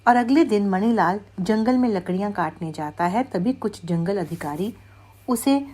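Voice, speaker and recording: A woman's voice: medium pitch, normal speed, dark tone. A woman narrating a scripted moral story for children as its storyteller.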